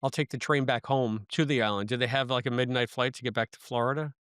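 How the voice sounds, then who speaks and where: A man's voice: mid-range voice, fairly even intonation, fast talker, fairly bright tone, clear, resonant tone, dry recording. Man co-hosting a podcast, close dry sound. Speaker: podcast co-host. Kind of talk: casual podcast chat.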